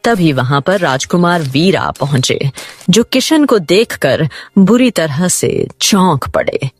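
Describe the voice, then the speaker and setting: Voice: mid-range voice, lively intonation, slow, no room sound. A narrator reading a scripted story in a dramatic storytelling style.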